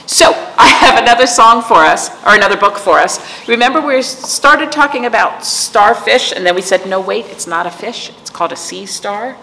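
A woman's voice: on the high side, lively intonation, moderate pace, hardly any pauses, bright, forceful tone. A middle-aged woman hosting storytime, chatting and explaining things to young children with frequent questions. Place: indoor library room with decorated wall. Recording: echoey room with clear background noise.

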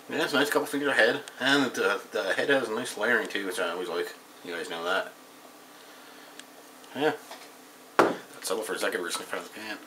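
Thirties guy, casual home reviewer, bright-toned, loose and rambling delivery. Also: lowish voice, a little hiss or hum, poor audio. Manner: rambling, offhand, reads label aloud. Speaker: beer reviewer. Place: small room at home, indoors.

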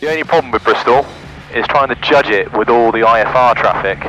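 A young man flying a small plane, calmly talking through his checklists over a headset mic. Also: mid-range voice, fairly quick, muffled recording, music in the background. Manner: calm, methodical checklist talk. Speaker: pilot. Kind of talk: flight vlog commentary. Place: small aircraft cockpit. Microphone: headset boom mic.

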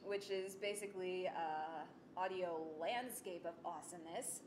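Young female presenter, informal and animated, self-effacing; echoey room sound. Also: moderate pace. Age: young adult. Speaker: student presenter, audio drama writer. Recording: echoey room with clear rumbling noise.

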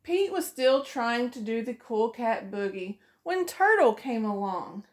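A woman's voice: on the high side, unhurried. Sing-song woman reading a picture book. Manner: sing-song storybook reading with lively voiced characters. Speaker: preschool teacher. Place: small room indoors.

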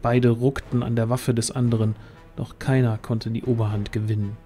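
A man's voice: mid-range voice, little pitch movement, moderate pace, dark tone, soft background music. A man narrating a scripted fantasy audio drama as the storyteller.

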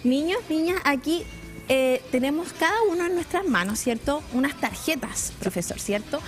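Chilean female TV host, thirties, high voice, animated live presenting, light music bed. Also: normal speed. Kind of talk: live talk show presenting. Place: TV studio.

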